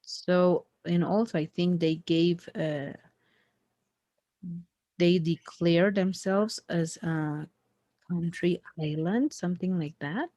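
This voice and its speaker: A woman's voice: on the low side, takes it slowly, warm tone, no room sound. A woman chatting loosely in a one-on-one conversation lesson, with many fillers and restarts. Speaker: conversation tutor. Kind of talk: casual conversation practice.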